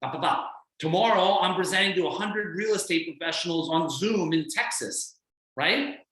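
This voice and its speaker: A man giving a blunt, energetic motivational coaching talk on a video call, with a non-American accent, often acting out what other people think. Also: sits high, moderate pace, echoey room, low-quality recording. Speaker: fitness coach guest speaker.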